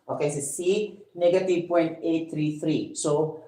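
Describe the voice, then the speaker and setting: A woman with a Filipino accent teaches math step by step, her voice sitting a little low. She keeps asking "no?" and "okay?" to check on her viewers. The sound bounces around a roomy space. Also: steady tone, measured pace, warm tone. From Philippines. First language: Cebuano. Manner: explains step by step, adds tag questions like "no?" and "okay?". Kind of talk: livestream math lesson.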